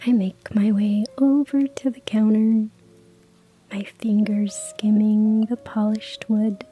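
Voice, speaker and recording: A woman narrating a cozy story in a hushed ASMR whisper, with soft laughs. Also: mid-range voice, flat delivery, slow, husky, faint music behind.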